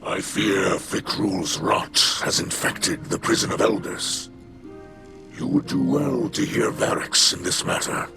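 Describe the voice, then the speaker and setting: An actor playing a stern, bitter alien father and warlord in a video game, with a gravelly voice and a formal, menacing manner. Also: deep voice, huge pitch swings, measured pace, exaggerated voice. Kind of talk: scripted video game dialogue. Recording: music behind the voice. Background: game music.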